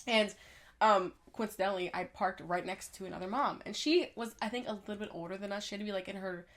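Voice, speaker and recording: A woman's voice: medium pitch, fast talker, a bit bright. A woman, chatting as a podcast co-host. She sounds congested, with a dry throat. Her voice sits in a middle register, and she talks in loose, run-on stories that she often breaks with laughter. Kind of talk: casual chat.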